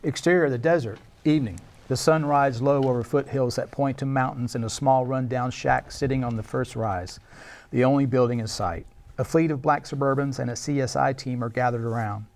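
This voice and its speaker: A man's voice: mid-range voice, fairly even intonation, fairly quick, darkish tone. A man reading scene descriptions and stage directions as the narrator of a screenplay table read.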